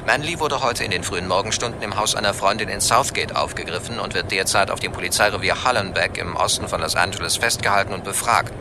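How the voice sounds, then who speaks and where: An actor playing a formal, urgent 1940s radio newsreader giving a special bulletin. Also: male voice, deep voice, fast talker, hardly any pauses, background noise.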